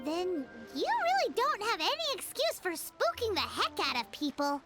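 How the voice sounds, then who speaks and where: A woman voicing a small, childlike, excitable fairy companion in a video game, squeaky and blunt. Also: moderate pace, full character voice. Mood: indignant. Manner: speaks of self in third person, blunt. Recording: loud music behind voice.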